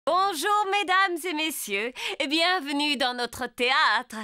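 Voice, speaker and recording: A formal female animal introducing a children's play in a cartoon. She announces ceremoniously, high and animated, taking her time. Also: takes it slowly, dry recording, clean studio sound, exaggerated voice. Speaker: play presenter. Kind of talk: French-dubbed preschool cartoon acting.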